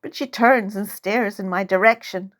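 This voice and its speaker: A woman's voice: mid-range voice, moderate pace, a bit bright. A woman narrating a first-person story as its author, in a dramatic, suspenseful style. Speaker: author reading own novel.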